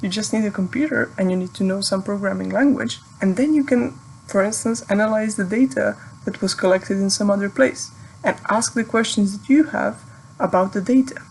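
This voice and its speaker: Serbian woman with a gravelly edge. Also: mid-range voice, a little fast, a little hiss or hum, rough recording. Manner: friendly, thoughtful, with light laughs. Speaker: PhD student giving advice. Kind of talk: recorded video message.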